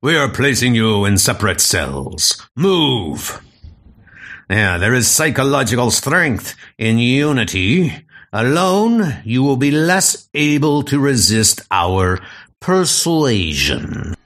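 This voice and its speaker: A man's voice: mid-range voice, very animated, slow, a bit bright, exaggerated voice. A man voicing a cold male captor in a comic audio drama, speaking in a threatening, commanding way. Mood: menacing.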